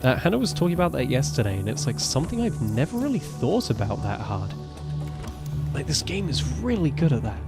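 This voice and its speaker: A man in his twenties with a naturally deep voice, rambling casually as he streams a game live. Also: very animated, fairly quick, vocal fry, loud music behind the voice. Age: 20s. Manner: chatty, rambling, self-correcting. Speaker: gaming streamer. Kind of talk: casual live gameplay commentary.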